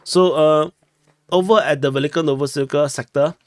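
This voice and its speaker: A man's voice: a little high, moderate pace, slight background noise. A young man from Singapore with a Singaporean English accent, giving casual commentary over war maps, chatty and often correcting himself, tagging "no?" on his points. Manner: chatty, self-correcting, ends with "no?". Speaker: war map analyst. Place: small room at home.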